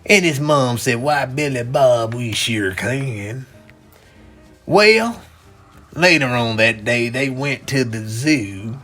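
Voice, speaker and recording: A man telling an improvised bedtime story to children as a dad and host, animated and drawn-out, repeating phrases for emphasis. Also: medium pitch, faint music behind.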